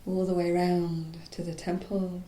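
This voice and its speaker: A woman teaching yoga nidra, leading a guided relaxation in a soft, soothing, unhurried voice that turns breathy at phrase ends and pauses mid-phrase. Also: monotone. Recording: roomy echo, faint low rumbling noise.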